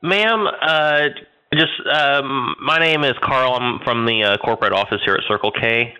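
A man's voice: mid-range voice, measured pace, bright, forceful tone, clear, resonant tone. A man prank calling a store in a breezy, mock-official phone manner, posing as a corporate staffer. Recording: dull, lo-fi sound.

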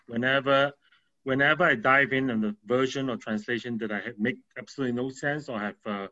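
A man of middle pitch speaks up in a casual class discussion, pausing and searching for words. His voice comes through muffled and lo-fi. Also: fairly even intonation, sharp tone. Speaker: class participant.

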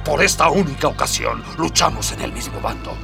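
An actor playing a commanding undercover colonel disguised as a maid in a radio serial. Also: male voice, a little high, fairly quick, gravelly, far from the mic, over music. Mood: urgent, commanding. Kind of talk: Spanish-language radio serial acting.

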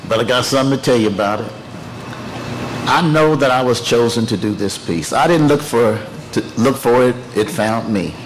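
An older man from Tennessee, giving an emotional, halting speech at the unveiling of his artwork. He often repeats phrases and pauses to collect himself. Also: medium pitch, noisy background. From Tennessee, United States. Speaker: artist unveiling his work.

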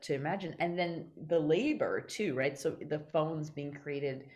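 A woman in casual podcast conversation, talking haltingly and repeating words as she searches for her thoughts. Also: sits low, darkish tone. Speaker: podcast guest or co-host.

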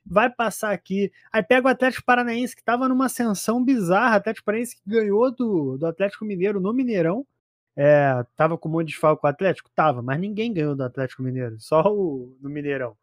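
A Brazilian man with a notably high-pitched voice talks football in a loose, slangy style. He is emphatic and repeats phrases to drive them home. The sound is dry, with very little room around it. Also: fast talker, no room sound. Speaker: football podcast commentator. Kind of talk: casual football chat.

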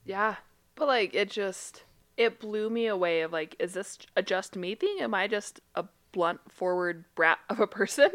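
A woman's voice: mid-range voice, animated, normal speed. A woman who is a licensed therapist and a podcast co-host, talking in a blunt, casual way with swearing and frequent laughter. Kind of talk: casual chat.

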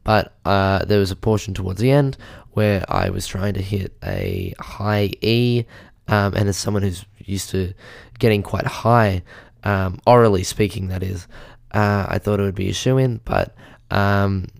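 A young man with a hoarse voice hosting a podcast, delivering a rambling, deadpan, self-pitying comedic monologue into a mic with a pop filter. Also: on the low side, unhurried, dry recording, clean studio sound. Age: young adult. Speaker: podcast host.

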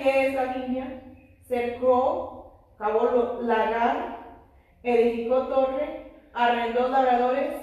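Slow-speaking woman preacher in an echoey hall. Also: fairly high pitch, darkish tone. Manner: slow, prayerful, sprinkles amens and hallelujahs. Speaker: preacher teaching a Bible study. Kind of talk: church Bible study sermon with prayer. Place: church hall. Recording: very echoey room, voice far from mic.

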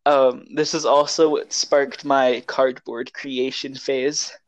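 A man's voice: medium pitch, little pitch movement, slow, poor audio. Young guy chatting on a podcast, kinda hesitant, rambles through his stories. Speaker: podcast co-host. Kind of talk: casual chat, personal storytelling.

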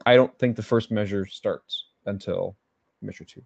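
A man's voice: on the low side, fairly even intonation, normal speed, vocal fry, darkish tone, breathy edge, no echo, lo-fi sound. A man co-hosting a podcast, chatting casually about music theory, thinking aloud and hedging as he goes. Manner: thinks aloud, hedges and restarts. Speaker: podcast co-host. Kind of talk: casual music analysis chat.

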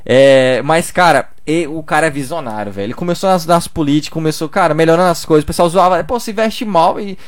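A man's voice: fairly high pitch, fairly quick, hardly any pauses. A young man in Brazil, telling stories in a loose, slangy casual chat full of "cara". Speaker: podcast guest.

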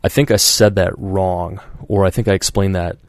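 A man with a fairly low voice talks through a casual podcast conversation, rambling and restarting, filling with "like" and "you know", slipping into quoted voices. The sound is dry and close, with no room around it. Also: steady tone, normal speed, dry recording. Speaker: podcast host. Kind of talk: casual chat.

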